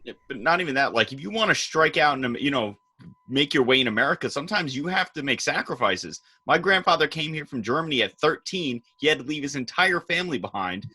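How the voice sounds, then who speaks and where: A man's voice: mid-range voice, sharp tone. A man co-hosting a political podcast, talking bluntly and sarcastically in a lecturing way. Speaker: podcast co-host. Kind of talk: casual political chat.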